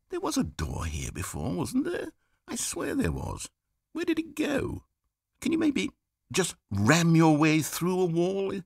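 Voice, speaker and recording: A man's voice: medium pitch, husky, exaggerated voice. Fussy game narrator guy, anxious and pleading, voice swoops all over the place, clean studio sound. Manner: pleading, rambling, swinging from fretful to hushed. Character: fussy male narrator, anxious, increasingly desperate. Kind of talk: scripted video game narration. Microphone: studio mic.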